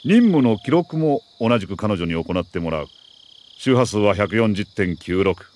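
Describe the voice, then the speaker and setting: An actor playing a middle-aged army major who briefs an agent in a crisp, authoritative, deliberate way in a video game. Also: male voice, lowish voice, very animated, a little mellow. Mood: grave, commanding. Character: male military major, mission commander, precise and earnest. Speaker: mission commander briefing an agent.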